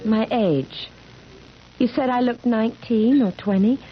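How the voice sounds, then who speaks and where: A woman's voice: a little high, unhurried, lightly acted. An actress playing an earnest, anguished young woman who is forever eighteen, with dramatic, pleading delivery in old-time radio style. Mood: grave, insistent. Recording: dull, noisy vintage radio recording.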